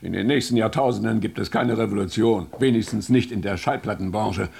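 Gravelly, sceptical company director. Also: male voice, on the low side, brisk, a little mellow, heavily acted. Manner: dry, dismissive, formal. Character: sceptical, self-satisfied male record company director. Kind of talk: German radio drama acting.

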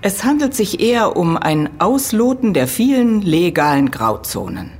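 A woman's voice: medium pitch, sing-song, a little slow, a little mellow, breathy edge, faint music behind, heavily acted. A woman playing a middle-aged professor in an audio drama, lecturing precisely and patiently correcting others with firm emphasis. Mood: matter-of-fact. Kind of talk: German audio drama dialogue.

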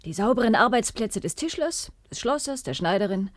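A woman's voice: on the high side, lively intonation, brisk, dry recording, close to an everyday voice. Actress as a brooding, sceptical woman, recorded dry. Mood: bitterly sardonic. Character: sceptical, bitter, uneasy adult daughter of an internee. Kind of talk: radio play dialogue.

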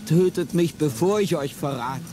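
A man's voice: fairly high pitch, moderate pace, warm tone, close to an everyday voice. An actor playing a fearful, tormented man who pleads in anguish in a radio play. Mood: afraid, pleading. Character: fearful, tormented man pleading against his own betrayal. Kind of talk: slow dramatic radio play speech. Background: music.

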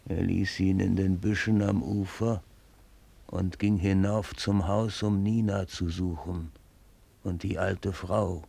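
A sly one-eyed servant in a radio play, reporting to his lord. The voice is low and heavily creaking, with a husky grain. Every sentence is laid out slowly and ceremoniously, like an old tale. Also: level tone, mellow, heavily acted. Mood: grave, conspiratorial. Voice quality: gravelly, husky. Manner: measured, ceremonious, archaic storytelling. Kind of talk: solemn German radio drama acting.

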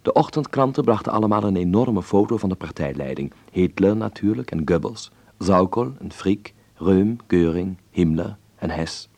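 A man's voice: low voice, animated, moderate pace, hardly any pauses, darkish tone. A man playing a wry, uneasy observer reading his letter aloud in an intimate, reflective radio drama monologue. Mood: wryly ironic. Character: male letter writer in 1933 Berlin, wry, uneasy observer.

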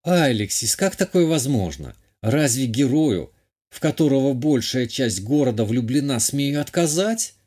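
A man's voice: medium pitch, sing-song, a little slow, darkish tone, breathy edge. A man voicing a poised, coquettish young countess in an audiobook, with genteel, shrewd delivery.

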